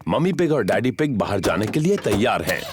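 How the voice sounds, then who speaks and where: A man playing a friendly narrator of a children's cartoon, explaining the action in an expressive, storybook manner. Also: lowish voice, brisk, noisy background. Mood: cheerful.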